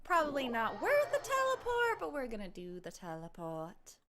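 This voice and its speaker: A woman's voice: sits high, sing-song, normal speed, very noisy. A woman streamer giving casual, playful commentary during a game playthrough. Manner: playful, mimics game lines, hums to herself. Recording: game music behind the voice.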